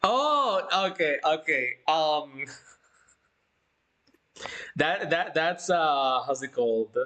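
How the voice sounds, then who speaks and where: A man hosting a casual livestream chat, talking informally in a halting way and often repeating himself. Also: a little high, expressive, sharp tone. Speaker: livestream host.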